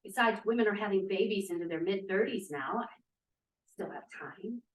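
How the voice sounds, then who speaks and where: A woman's voice: mid-range voice, moderate pace, close to an everyday voice. Woman acting a defiant young feminist, roomy recording. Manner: emphatic, argumentative, theatrical. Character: defiant twenty-two-year-old drama student, free-spirited feminist. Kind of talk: dramatic table read of a play. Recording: roomy, echoey, distant microphone.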